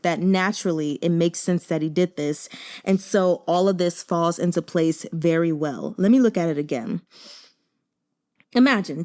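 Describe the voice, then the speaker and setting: An American woman giving casual, chatty book commentary in a conversational, expressive way with emphatic exclamations. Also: fairly low pitch. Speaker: book reviewer and host.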